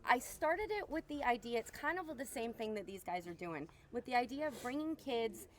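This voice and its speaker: Woman guest, slightly high voice. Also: expressive, moderate pace, a bit bright, slight background noise. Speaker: small business owner and guest. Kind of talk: casual unscripted promotional talk.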